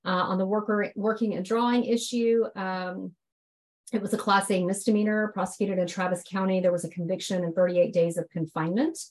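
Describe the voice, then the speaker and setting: A woman giving a businesslike slide presentation on enforcement figures, a little hoarse from a head cold, with frequent ums. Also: mid-range voice, little pitch movement, brisk, poor audio.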